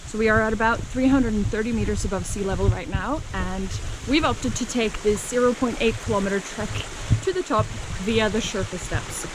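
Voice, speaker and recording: A woman's voice: mid-range voice, brisk, hardly any pauses, noisy background. A woman in her thirties, a travel vlogger, talking to a handheld camera outdoors on a mountain trail in an upbeat, guiding way. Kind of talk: casual vlog narration to camera. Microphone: camera held at arm's length.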